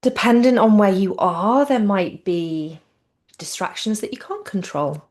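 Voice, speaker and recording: A woman teaching yoga nidra, giving gentle guided relaxation in a soothing, unhurried and informal way. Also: medium pitch.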